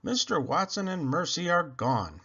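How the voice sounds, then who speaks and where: Very animated male storybook reader. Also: fairly high pitch, normal speed, breathy edge, muffled recording. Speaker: read-aloud narrator. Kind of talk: expressive children's storybook narration.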